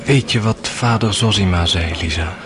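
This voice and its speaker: An actor playing a gentle, grieving, devout young man in a radio drama, earnest and reflective. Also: on the low side, moderate pace, a crowd in the background, lightly acted. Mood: sad, earnest. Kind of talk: Dutch radio drama acting.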